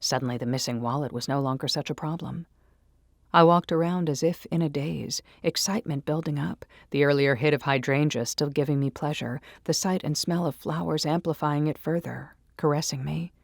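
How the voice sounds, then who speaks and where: A woman's voice: low voice, moderate pace, gravelly, no echo, studio quality. A woman narrating a first-person story in a dramatic, intimate and intense manner.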